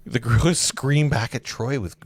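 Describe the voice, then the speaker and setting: A man's voice: medium pitch, normal speed, slightly rough, dry recording, studio quality. A man hosting a table read, reading stage directions aloud in an informal, amused way with casual asides. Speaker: host and stage-direction reader.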